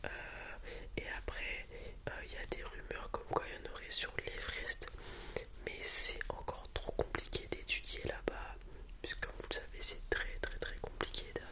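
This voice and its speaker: A person whispering softly and breathily in a hesitant, improvised ASMR roleplay as a helpful dragon breeder explaining to a customer. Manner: hesitant, improvised explaining with many pauses and repetitions.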